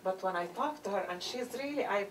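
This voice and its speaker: A woman's voice: mid-range voice, animated, a little fast. A woman from Lebanon speaking up from the audience, earnest and heartfelt. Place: church conference hall. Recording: distant recording with faint noise.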